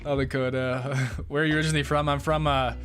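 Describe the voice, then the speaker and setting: A man's voice: mid-range voice, flat delivery, fast talker, fairly bright tone, faint music behind. Laid-back young man chatting on stream. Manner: laid-back, laughs often, swears casually. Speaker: livestream host reading chat. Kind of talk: casual live stream chat.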